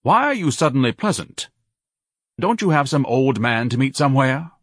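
A man's voice: medium pitch, very animated, moderate pace, a bit bright, slightly rough, no room sound, clean studio sound, voice pushed up. A man voicing a pompous, self-pitying grown son who speaks suspiciously.

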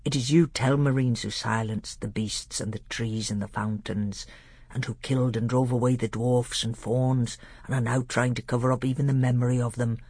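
A storybook reader voicing an old male tutor who is grave and secretive, with a hushed, deliberate way of talking and old-fashioned phrasing. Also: mid-range voice, steady tone, husky, no echo, clean studio sound. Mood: grave, impassioned. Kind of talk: audiobook character voice.

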